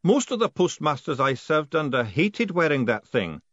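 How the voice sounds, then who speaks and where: A man's voice: on the high side, big pitch swings, moderate pace, a bit bright, no echo, dull audio, voice pushed up. A man voicing a fatalistic old lodge master who talks in a weary, mournful way. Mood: gloomy, resigned.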